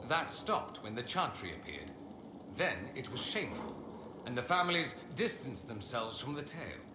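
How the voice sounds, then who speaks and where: A man's voice: medium pitch, sing-song, normal speed, echoey room, noisy background, natural voice. A man playing a sardonic, upper-class fantasy mage in a video game, speaking in a theatrical, condescending way. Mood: grave, expository. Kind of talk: video game dialogue acting.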